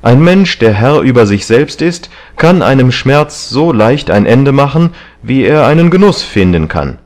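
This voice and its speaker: A man's voice: on the low side, sing-song, unhurried, darkish tone. A man voicing a callous young dandy in an audiobook novel, haughty, emphatic and defiant. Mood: indignant, defiant.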